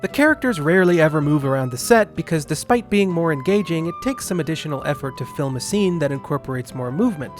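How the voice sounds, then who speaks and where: High-pitched man narrating a snarky film review. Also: animated, fast talker, hardly any pauses, soft background music. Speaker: video essayist and film reviewer. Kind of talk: scripted video essay narration with comedic skits.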